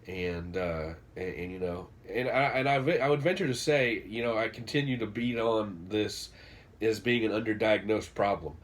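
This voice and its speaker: A man's voice: on the low side, moderate pace. A man, congested and nasal from a cold, casually rambling through a book recommendation in a vlog. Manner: rambling, many fillers and restarts. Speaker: vlogger reviewing a book.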